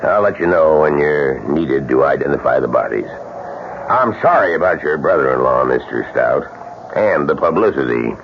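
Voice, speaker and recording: An actor playing a persistent, businesslike sheriff in a radio drama, with an insistent official manner. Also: male voice, extremely low pitch, expressive, moderate pace. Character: persistent, businesslike small-town sheriff questioning a witness. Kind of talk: 1970s radio drama acting. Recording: dull old radio, faint hiss.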